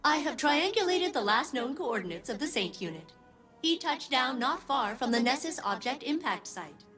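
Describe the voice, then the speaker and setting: A woman voicing a quirky, eager, helpful female ship AI in a video game, chirpy, robotic and expressive. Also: sits high, normal speed, soft background music. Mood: businesslike.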